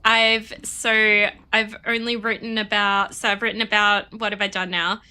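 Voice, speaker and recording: A woman's voice: mid-range voice, little pitch movement, moderate pace, bright, forceful tone, clear, resonant tone. A woman streaming a game and chatting casually, sniffly from a cold, rambling and laughing often. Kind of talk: casual chat while playing.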